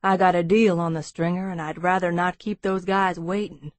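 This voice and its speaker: A woman voicing an easygoing, wryly amused Texan businessman with a drawling baritone in an audiobook. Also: little pitch movement, moderate pace, no echo, close to an everyday voice. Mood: wry, brisk. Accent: Texas. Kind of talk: audiobook character dialogue.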